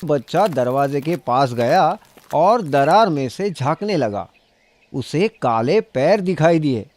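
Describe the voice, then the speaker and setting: A man's voice: fairly high pitch, animated, slow, a little mellow, no room sound. A man narrating a scripted children's story.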